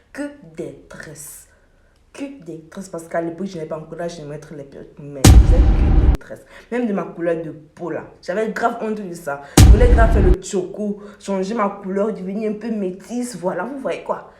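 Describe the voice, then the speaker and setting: A young woman talking to camera in a casual vlog while doing her makeup, telling her story in an emphatic, repetitive way. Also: fairly low pitch. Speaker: beauty vlogger. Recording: echoey room.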